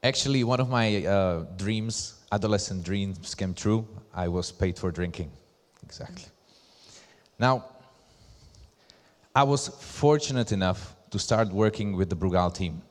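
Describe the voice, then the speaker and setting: Low-voiced Slovak-accented man in echoey hall. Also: measured pace. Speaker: presenter, brand ambassador. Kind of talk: conference presentation. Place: large hall at a live event. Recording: echoey hall with long reverb.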